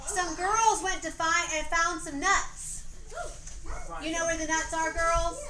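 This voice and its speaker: A woman's voice: very high-pitched, steady tone, slow pace, music in the background. A woman with a Southern US accent reads a story aloud as narrator, breaking off to give stage directions to young performers. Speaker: narrator directing a children's dramatization. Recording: echoey room, noisy amateur recording.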